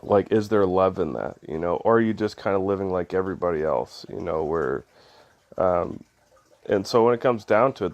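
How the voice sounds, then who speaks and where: Stuffed-up, nasal man with a deep voice. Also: a little mellow, highs cut off. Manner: loose and conversational, many ums and uhs. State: stuffy head cold, nasal. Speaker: devotional video host. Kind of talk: casual spoken devotional.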